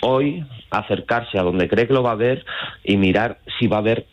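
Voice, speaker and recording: A man with a central Spanish accent is interviewed by phone as a guide. His voice sits fairly low, and the line is dull and lo-fi. He explains things step by step in an informal way. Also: normal speed. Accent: central Spain. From Madrid, Spain. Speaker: astrotourism guide, phone guest.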